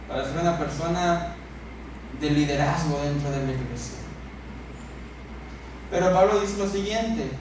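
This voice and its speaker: A man with a Mexican accent preaching a sermon as a pastor, speaking emphatically with repeated phrases and pauses for effect. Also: high voice. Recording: echoey church hall, distant mic, noisy.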